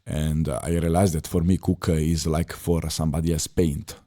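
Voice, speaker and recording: A deep, mellow-voiced Italian man in his thirties talks as a podcast guest. His English is halting and filled with pauses and restarts, but he speaks firmly. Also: level tone. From Italy. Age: 30s. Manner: halting non-native phrasing with many fillers and restarts. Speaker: podcast guest, former chef. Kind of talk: casual interview talk.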